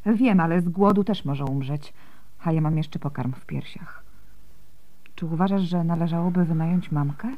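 An actress playing a sick, feverish young mother, gentle, soft and weak, in a Polish radio drama. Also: low voice, moderate pace, dull audio, natural voice. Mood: weak, tender.

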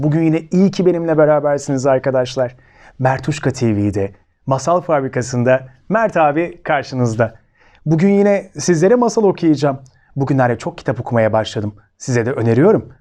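A man's voice: fairly high pitch, mellow. A man with a Turkey Turkish accent hosting a children's story show, speaking expressively and addressing his listeners directly as friends.

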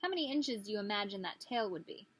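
A woman's voice: medium pitch, expressive, quick pace, noisy background. A woman in her thirties reading a picture book aloud as a teacher, expressively, putting questions to small children. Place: small living room, indoors.

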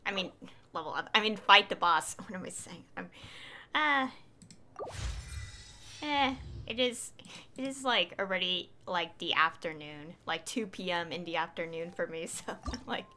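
A woman's voice: lowish voice, measured pace, bright, forceful tone, slight background noise. A woman in her twenties giving casual, rambling, giggly commentary as a game streamer.

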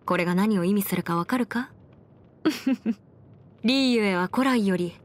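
A woman's voice: mid-range voice, measured pace, close to an everyday voice. A woman voicing a confident, clever young female legal advisor in an anime game, articulate and slightly boastful, with playful pride. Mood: explaining. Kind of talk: Japanese anime game character voice lines. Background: music.

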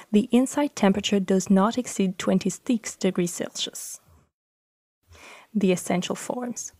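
A woman hosting a podcast, reading an architect's written text aloud in a measured, even way. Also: mid-range voice, no echo. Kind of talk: scripted reading.

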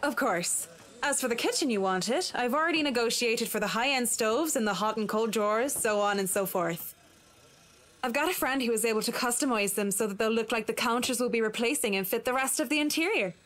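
An actress playing a reserved, earnest young professional woman in a visual novel, speaking in a polite, formal, measured way. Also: fairly high pitch, fairly bright tone, close to an everyday voice. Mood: businesslike.

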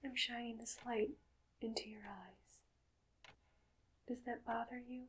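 A woman playing a doctor in an ASMR roleplay, speaking softly and mostly in whispers, with gentle questions and long pauses, close to the microphone. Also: fairly high pitch, fairly even intonation, a little hiss or hum.

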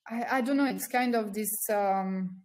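A woman in her thirties, a guest on a video call from a small room, talking things through thoughtfully with many pauses and ums. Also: medium pitch, a little mellow. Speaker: guest, founder. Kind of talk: casual interview chat. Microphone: laptop webcam on video call.

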